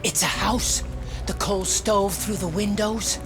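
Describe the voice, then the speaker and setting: A woman's voice: mid-range voice, slow. A woman playing a young, homesick, haunted soldier with a Newfoundland accent, speaking in a plain folksy way in a video game drama. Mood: wistful wonder. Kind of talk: video game drama, first-person storytelling. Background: music.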